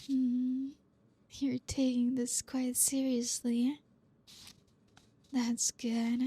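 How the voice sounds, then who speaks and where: A woman acts out a dominant female boss in an intimate ASMR roleplay. Her voice is mellow and breathy, lingering very slowly over each teasing instruction. She stays softly commanding and flirty, close on the mic. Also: high-pitched, flat delivery, heavily acted. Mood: amused, teasing. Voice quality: breathy, soft. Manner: slow, deliberate, teasing, softly commanding with breathy hushed phrases. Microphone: close microphone, dry.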